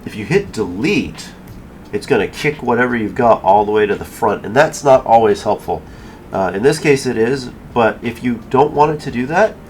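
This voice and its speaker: Man, fairly deep voice, casual software tutorial narration, informal step-by-step explaining, noticeable ambient noise. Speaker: tutorial host.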